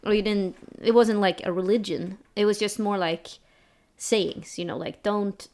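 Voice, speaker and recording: A woman's voice: medium pitch, steady tone, a little slow, a little mellow. A young woman from Sweden running a tabletop role-playing game as game master, chatting casually with frequent laughs and restarts.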